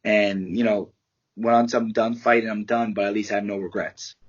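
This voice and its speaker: A man's voice: lowish voice, steady tone, moderate pace, breathy edge, lo-fi sound. A man, a fighter and martial arts teacher giving candid, rambling interview answers and swearing casually. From United States.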